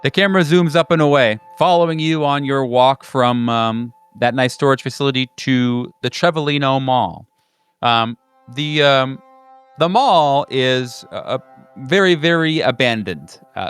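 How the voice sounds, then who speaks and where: A man narrating an improvised tabletop horror game as game master, halting and conversational in his descriptions. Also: medium pitch, fairly bright tone, clear, resonant tone, no room sound, soft background music.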